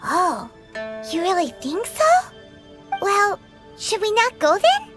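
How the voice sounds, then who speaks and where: A woman's voice: very high voice, sing-song, slow, heavily acted. A woman voicing a tiny floating female fairy companion, bubbly and greedy, who talks about herself in the third person. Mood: doubtful. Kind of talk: video game dialogue. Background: game music.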